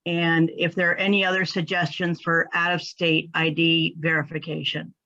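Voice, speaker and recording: A woman from Northern California calling in with a question, measured and reading out details, over a video call. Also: deep voice, flat delivery, bright, forceful tone, no echo. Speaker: caller asking a question. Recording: dull dry lo-fi video call audio.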